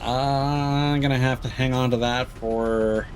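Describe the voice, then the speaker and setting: A man's voice: medium pitch, fairly even intonation, slow pace. A man giving casual live gameplay commentary as a streamer, exclaiming and thinking aloud. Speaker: game streamer. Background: video game sound.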